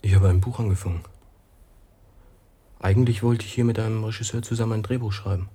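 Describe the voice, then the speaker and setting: An actor playing a reserved, subdued American writer abroad in a German radio play, with a husky voice and hesitant, understated delivery. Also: male voice, lowish voice, fairly even intonation, fast, warm tone. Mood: reserved, polite. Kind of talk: German radio play acting, intimate dialogue.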